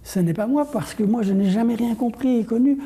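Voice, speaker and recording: An older man answering interview questions with deliberate, detailed explanations, often rephrasing as he goes. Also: extremely high pitch, dark tone. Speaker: interview guest, language course creator.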